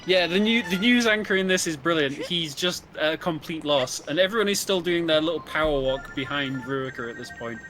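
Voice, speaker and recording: Higher-pitched man explaining a game over music. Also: expressive, brisk, few pauses, low-quality recording. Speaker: speedrun co-commentator. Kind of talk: casual explanatory commentary. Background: video game music.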